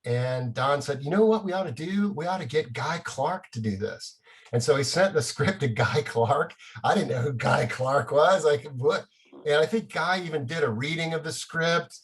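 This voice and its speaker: A middle-aged man, a playwright, telling casual anecdotes in an animated, self-deprecating way with chuckles. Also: fairly high pitch, moderate pace, breathy edge.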